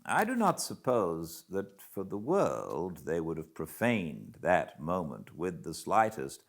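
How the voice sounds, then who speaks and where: A British man narrates classic literature in a low-pitched voice that swings widely in melody. He takes his time, phrasing each line deliberately and theatrically, with dramatic pauses. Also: wildly swooping pitch. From Britain. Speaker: audiobook narrator. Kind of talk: expressive literary audiobook narration.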